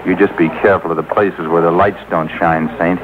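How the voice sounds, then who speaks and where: Man playing a very deep-voiced menacing gangster. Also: fairly even intonation, fairly quick, close to an everyday voice. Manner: curt, slangy, threatening. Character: menacing, coolly commanding male gangster boss. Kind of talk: 1940s-50s radio crime drama acting. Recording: tinny old radio broadcast, crowd behind.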